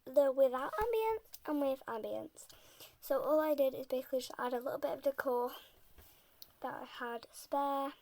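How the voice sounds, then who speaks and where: A young woman, a bit sick, rambling softly and often half-whispering in a casual voice-over about building in a game. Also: high voice, moderate pace.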